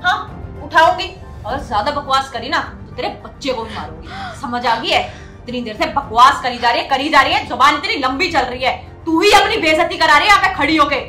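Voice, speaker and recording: A woman's voice: high-pitched, expressive, fairly quick, bright, forceful tone. A young woman playing a haughty, cruel customer in a melodramatic skit, scolding with contempt. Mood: angry, threatening. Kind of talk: melodramatic scripted Hindi skit acting. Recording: roomy echo, faint music behind.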